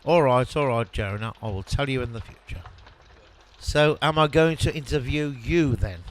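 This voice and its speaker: An actor playing a cheeky, grumbling, champagne-loving sidekick in a comic audio drama. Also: male voice, medium pitch, moderate pace, slight background noise, close to an everyday voice. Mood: grudging.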